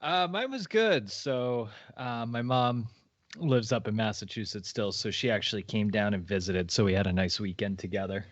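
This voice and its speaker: Low-voiced man, relaxed co-host. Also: normal speed, no room sound, dull audio. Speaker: podcast co-host. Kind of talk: casual podcast chat.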